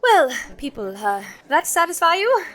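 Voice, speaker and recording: A woman's voice: extremely high pitch, big pitch swings, moderate pace, fairly bright tone, heavily acted. A woman playing a long-suffering, fussily polite department store floorwalker in a 1940s-style radio comedy. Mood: conciliatory. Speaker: actor in an amateur radio play recreation.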